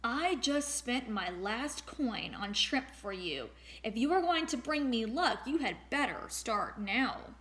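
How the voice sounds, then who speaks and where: A woman's voice: fairly high pitch, expressive, takes it slowly, a bit bright. A woman reading aloud as a poor storybook flower seller, a wishful man growing greedy. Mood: demanding. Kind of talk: storybook read-aloud character voice.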